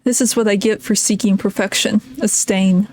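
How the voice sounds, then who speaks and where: A woman reading a perfectionist teenage girl club president in a soft, halting voice. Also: medium pitch, fairly even intonation, soft background music, natural voice. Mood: strained.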